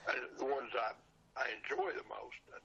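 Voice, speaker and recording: An older retired man with a raspy, husky voice chatting casually as an interview guest, plain-spoken and repeating phrases for emphasis. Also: mid-range voice, expressive, a little fast, sharp tone, lo-fi sound. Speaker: podcast guest, seller of old radio show recordings.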